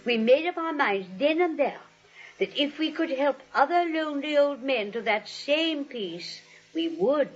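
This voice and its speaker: An actress playing a sweet, serene elderly spinster in a 1940s radio comedy, genteel and matter-of-fact. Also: female voice, high voice, expressive, measured pace. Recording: dull vintage radio recording.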